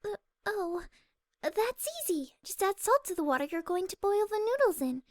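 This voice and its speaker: A woman voicing a timid, gentle young female pegasus pony, soft-spoken, hesitant and polite, in a story reading. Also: very high-pitched, lively intonation, normal speed, no room sound. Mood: shy, modest. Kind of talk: fan audiobook character acting.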